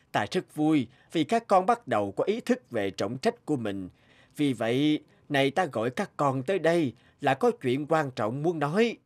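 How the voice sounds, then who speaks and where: An actor playing an aging, fatherly king in an animated fairy tale, speaking in a solemn, measured, regal way. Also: fairly high pitch. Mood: pleased. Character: stately aging king, deliberate and fatherly to his sons. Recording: loud music under the voice.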